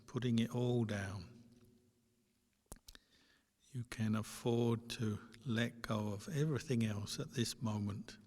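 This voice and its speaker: A man with a fairly low voice leads a guided meditation. He speaks very slowly in short, gentle instructions, leaving long pauses. His tone stays flat and even, and the sound carries a long hall reverb. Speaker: Buddhist monk and meditation teacher.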